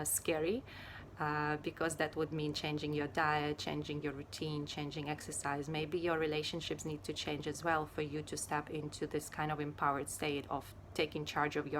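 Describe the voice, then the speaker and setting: A woman from Ukraine speaks in a low, fairly flat voice, taking her time and pausing often with fillers. A faint hiss sits under the recording. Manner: unhurried and hesitant, many fillers. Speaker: yoga teacher and Ayurveda therapist. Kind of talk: casual personal talk to camera.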